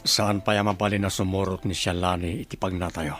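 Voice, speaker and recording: An actor playing a stern, cautious rebel leader in a radio drama. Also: male voice, deep voice, fairly even intonation, a little fast, over music, natural voice. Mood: firm, cautious.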